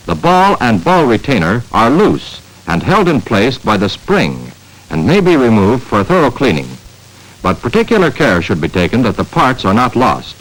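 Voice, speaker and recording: An American man narrating a 1950s instructional training film in a measured, emphatic, scripted manner, on a vintage film soundtrack. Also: lowish voice, fairly bright tone, poor audio.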